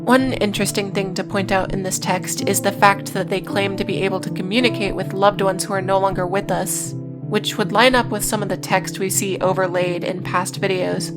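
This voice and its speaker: A woman's voice: medium pitch, fairly even intonation, moderate pace. Wry woman narrating over music. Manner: wry, sardonic asides. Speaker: video essay host. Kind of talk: scripted narration with casual asides.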